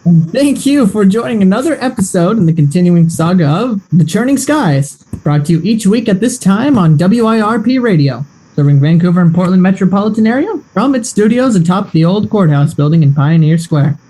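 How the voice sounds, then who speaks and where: A mellow, dark-toned radio announcer voiced by an actor narrates the serial with melodramatic flair. He builds cliffhanger questions and signs off in the old broadcast manner. The pitch sits in a middle register. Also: animated, brisk, slightly rough, rough recording. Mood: dramatic. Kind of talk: scripted narration.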